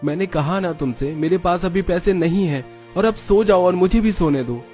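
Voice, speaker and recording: Man acting exasperated husband, animated story, high-pitched, mellow tone. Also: normal speed, faint music behind, close to an everyday voice. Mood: fed up.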